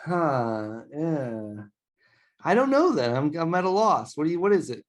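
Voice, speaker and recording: A man's voice: on the high side, very animated, a little slow. A man co-hosting a gaming podcast, in laid-back, joking chat that often stumbles over its words. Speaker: podcast co-host.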